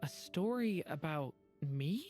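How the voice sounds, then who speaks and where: Young dragon boy in a game, lowish voice for a kid, polite n earnest. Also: lively intonation, slow pace, music in the background. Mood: wondering. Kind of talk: animated video game voice acting.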